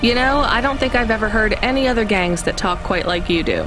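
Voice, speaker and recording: A young female delivery driver in a video game, played by an actress, speaks in a plain, guarded way at a middle pitch. Music plays clearly behind her. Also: expressive, a little fast, lightly acted, poor audio. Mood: dry, curious.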